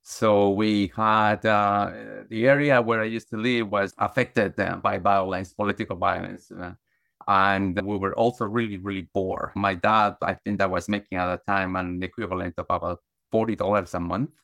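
A man's voice: on the low side, steady tone, normal speed, gravelly, fairly bright tone, no room sound, clean studio sound. A middle-aged man from Guatemala, a podcast guest, telling his life story earnestly with many restarts and fillers. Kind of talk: conversational storytelling.

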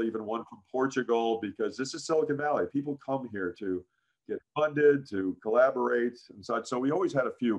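A man in his sixties with a deep voice, a guest in a casual interview, telling career stories with many "frankly"s and "uh"s. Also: highs cut off. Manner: frequent "frankly" and "uh", animated anecdotes. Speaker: interview guest, business founder.

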